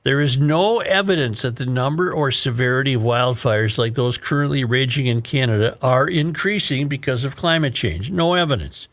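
Male American talk host, mid-pitched, emphatic and opinionated, delivering read-aloud commentary. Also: moderate pace, few pauses, fairly bright tone. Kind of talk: reading an article aloud with commentary.

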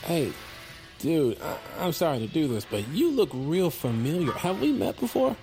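Laid-back, cautious buddy. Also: male voice, on the high side, very animated, moderate pace, over music. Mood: puzzled. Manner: casual, slangy. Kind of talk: audio drama acting.

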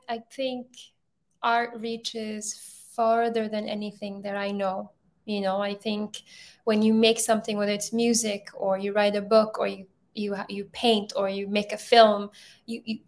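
A woman in her thirties from Ethiopia, talking in a thoughtful, halting way with many restarts, as an interview guest on a video call. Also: medium pitch. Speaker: filmmaker guest.

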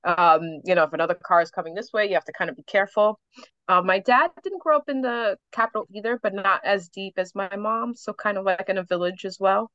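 A woman's voice: mid-range voice, brisk, a bit bright, no room sound, highs cut off. A New York-born woman whose first language is Haitian Creole, chatting and reflecting with frequent laughs as a guest on a podcast interview. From New York City. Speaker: author and guest.

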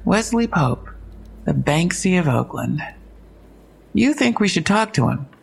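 Frank female investigator, husky, heavy vocal fry, matter-of-fact, wry, audio drama. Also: fairly low pitch, very animated, measured pace, darkish tone, heavily acted. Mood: matter-of-fact, probing. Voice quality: husky, creaky. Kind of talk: full-cast audio drama dialogue.

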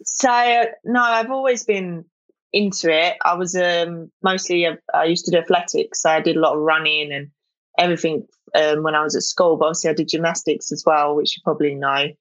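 A woman's voice: low-pitched, steady tone, fairly quick, no echo, dull audio. A young woman with a South East London accent, chatting casually as an interview guest, full of fillers and restarts. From England.

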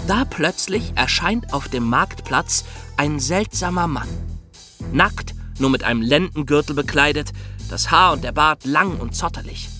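A man's voice: a little high, lively intonation, a little slow, pushed and bright, soft background music. A man hosting a podcast, telling scripted stories in a dramatic way for young listeners. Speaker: podcast host and narrator.

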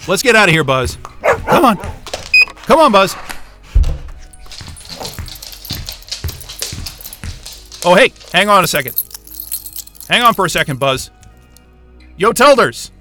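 An actor playing an exasperated, sarcastic but soft-hearted astrophysicist in a naturalistic science-fiction audio drama. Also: male voice, high-pitched, big pitch swings, normal speed, long pauses, music in the background. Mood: playful, excited. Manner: sarcastic, muttering, casual swearing.

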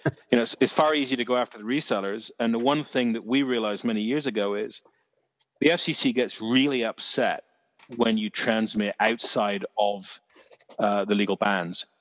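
Dorset man, lowish voice, chatty rambling storyteller, long reverb on a dull recording. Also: fairly even intonation, moderate pace. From England. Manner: rambling storyteller, many restarts, mild swearing. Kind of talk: casual chat.

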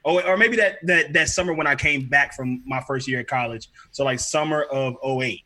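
A man's voice: mid-range voice, brisk, bright, forceful tone. A man in his thirties from Los Angeles, telling stories in a laid-back casual chat and laughing often. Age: 30s.

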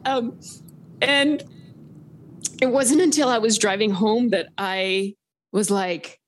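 A woman from Southern California calling in to a podcast, telling her story in an animated, rambling way full of filler words. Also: mid-range voice, takes it slowly, slight background noise. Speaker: caller sharing a paranormal story. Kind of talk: casual storytelling on a phone-in podcast.

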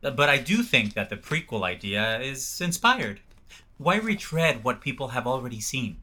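An actor playing a self-important theater writer in an audio comedy, pompous and given to grand emphasis. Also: male voice, medium pitch, sing-song, moderate pace, pushed and bright. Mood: smug. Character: self-important, name-dropping male musical theater writer. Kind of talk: audio comedy drama acting.

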